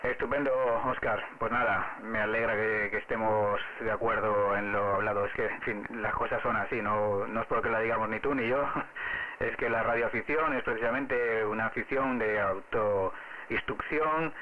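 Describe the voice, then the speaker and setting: A man's voice: on the low side, fairly even intonation, moderate pace, phone-quality sound, a little hiss or hum. A man with an accent from Spain, an amateur radio operator explaining things in casual on-air conversation. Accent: Spanish from Spain.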